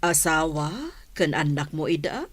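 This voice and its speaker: Kind rich madam in an ilocano radio drama, lower voice, very animated pitch. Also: female voice, a little slow, breathy edge, exaggerated voice. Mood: kindly concern. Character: gracious, charitable well-off madam hosting a picnic.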